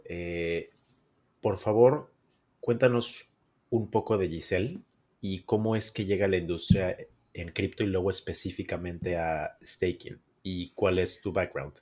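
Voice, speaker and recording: A man's voice: low voice, steady tone, measured pace, a little mellow. A man hosting a podcast interview, welcoming guests and asking questions with short acknowledgements. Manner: brief acknowledgements, friendly questions. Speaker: podcast host. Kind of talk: scripted intro and casual interview.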